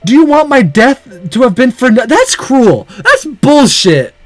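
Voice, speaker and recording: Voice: high-pitched, very animated, a little slow, full character voice. A performer voicing a tearful, earnest young woman in a visual novel, pleading with emotion. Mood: distraught, pleading. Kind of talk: visual novel character voice acting.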